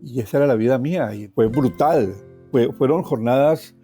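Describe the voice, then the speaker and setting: A man's voice: mid-range voice, moderate pace, mellow, soft background music. A man from Colombia with a Colombian accent, telling his own life story as a guest. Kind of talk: personal storytelling.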